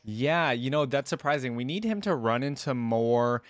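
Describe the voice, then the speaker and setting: A man's voice: mid-range voice, normal speed. A man hosting a reaction video in casual commentary, often repeating words and quoting back what was said.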